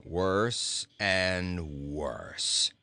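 A man voicing a surly talking goat who grumbles with sarcastic, deadpan scorn in a storybook reading. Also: sits low, drawn-out words, no room sound, pitched below the performer's own voice, exaggerated voice. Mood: scornful. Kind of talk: storybook character voice.

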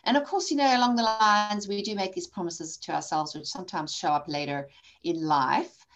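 Guest voice: woman in her 40s from South Africa, candid and lively, mid-pitched storyteller. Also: normal speed. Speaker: podcast guest, coach and author. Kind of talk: conversational interview.

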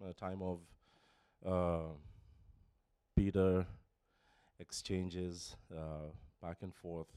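A man in his forties from Kenya shares his testimony with a congregation. His voice sits very low and sounds smooth and resonant. It is close and dry on the microphone, broken by pauses and restarts. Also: no room sound. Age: 40s. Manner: halting, many fillers and restarts, emotional. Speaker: church member giving testimony. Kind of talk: personal testimony. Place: church. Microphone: handheld microphone.

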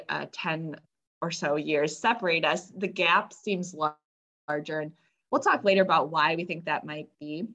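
A woman's voice: lowish voice, pushed and bright. A woman in her mid thirties co-hosting a casual chat and introducing the topic. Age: 30s. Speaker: podcast co-host.

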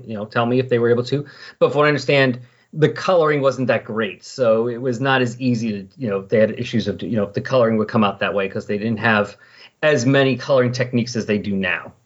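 A man's voice: medium pitch, lo-fi sound. A man talking casually as a podcast co-host in a rambling, conversational way about comics.

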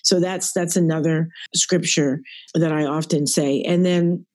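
A middle-aged woman with a deep, raspy voice, a podcast guest speaking earnestly about her faith and growing up. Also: fairly even intonation, measured pace, a little mellow, no room sound. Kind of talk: reflective podcast interview. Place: at work.

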